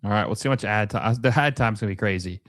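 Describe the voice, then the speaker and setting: A man's voice: fairly low pitch, fast talker, a bit bright, dry recording. Man casually calling soccer, close dry sound. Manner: casual fan-like reactions in short, clipped play-by-play phrases. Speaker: host of a live soccer watch-along. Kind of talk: casual live match commentary.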